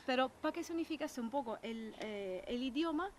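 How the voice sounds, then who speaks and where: A woman's voice: sits high, lively intonation, brisk, a little hiss or hum. A young Sicilian woman with an Italian accent, talking casually in an interview and explaining with many fillers and restarts. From Sicily, Italy. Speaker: guest. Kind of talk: conversational interview in Spanish.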